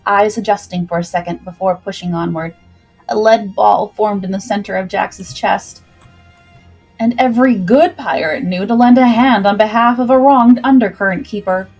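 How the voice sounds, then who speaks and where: Husky woman narrating an adventure story. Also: medium pitch, a little mellow, poor audio. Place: indoors at home, on webcam. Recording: music behind the voice.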